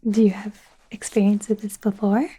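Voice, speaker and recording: A woman playing a nervous, awkward werewolf doctor in an ASMR roleplay, whispering softly in a hesitant, rambling, self-deprecating way. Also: mid-range voice, flat delivery, moderate pace, lightly acted. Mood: gently teasing. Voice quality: soft breathy whisper.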